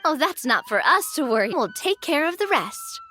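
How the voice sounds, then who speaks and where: A woman's voice: high voice, very animated, moderate pace, pushed and bright, exaggerated voice. A woman playing a playful, mischievous young woman in a video game, enthusiastic and coaxing. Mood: excited, coaxing. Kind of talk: video game character acting. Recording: music behind the voice.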